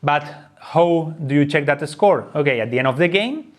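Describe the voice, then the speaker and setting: A man's voice: a little high, expressive, normal speed. A man presenting an unscripted how-to explanation of a game. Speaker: presenter of a board game tutorial.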